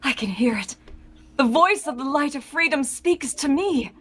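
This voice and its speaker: A woman's voice: high voice, expressive, moderate pace, a bit bright. A woman performer at a live table read, reading script lines with playful improvised banter.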